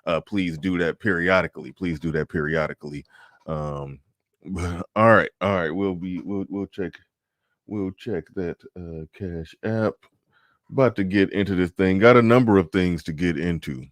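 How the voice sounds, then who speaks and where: Laid-back low-voiced man, dry close sound. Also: normal speed, dry recording. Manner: laid-back, laughs often, drawls. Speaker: livestream host. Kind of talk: casual livestream chat reading comments.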